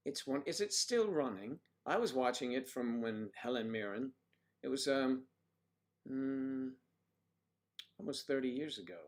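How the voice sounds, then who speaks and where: A man hosts a casual livestream from home, reading out viewers' comments. He rambles and cracks jokes as he greets people in the chat. His voice sits at an ordinary middle pitch. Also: expressive, unhurried, long pauses. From United States. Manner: chatty, jokey, rambling, talks to viewers by name.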